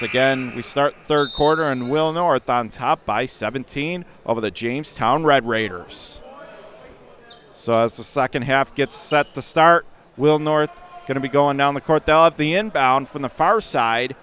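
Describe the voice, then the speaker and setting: A man doing radio-style basketball play-by-play as the announcer, speaking in clipped phrases that lift into excited calls on big plays. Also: mid-range voice, a little hiss or hum. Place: high school gym during a game.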